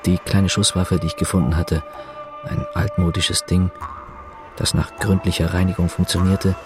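A man's voice: sits low, steady tone, over music, natural voice. An actor in a German radio play, playing a brooding, bitter prisoner who denies who he is. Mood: brooding, confessional. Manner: measured, reflective narration breaking into furious shouting. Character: prisoner denying his identity, brooding, bitter, given to outbursts. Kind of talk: German radio play, first-person narration and acted dialogue.

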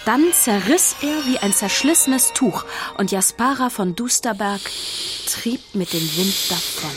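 A woman's voice: a little high, lively intonation, measured pace, over loud music. A woman giving scripted narration of a children's story.

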